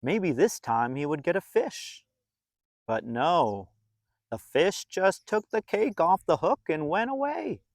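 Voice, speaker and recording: Male storybook reader, higher-pitched, very expressive, deliberate phrasing, close dry recording. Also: no room sound. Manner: expressive, deliberate, drawn-out phrasing for young listeners. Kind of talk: animated children's storybook narration.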